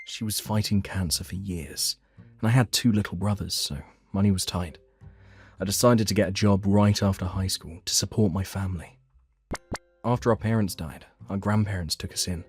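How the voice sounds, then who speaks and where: A man's voice: low-pitched, moderate pace. A man narrating a dramatized first-person story for a manga dub. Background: background music.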